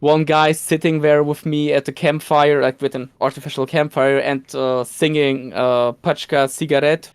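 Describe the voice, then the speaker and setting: Polish-native male guest, somewhat high and smooth, excited rambling storyteller. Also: normal speed, hardly any pauses, no echo. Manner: excited storytelling with laughs and restarts. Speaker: podcast guest, cosplayer. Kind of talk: casual podcast chat.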